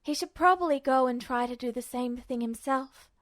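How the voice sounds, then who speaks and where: A woman reading a story aloud as an amateur fiction narrator, in an expressive scripted style. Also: high-pitched, moderate pace, dry recording, studio quality.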